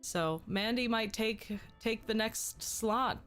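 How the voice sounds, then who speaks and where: Young female streamer, casual chat, slightly hoarse from a cold, faint music underneath. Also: on the high side, takes it slowly, a bit bright. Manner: chatty, reads and answers chat, frequent ums. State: slightly sick, losing her voice.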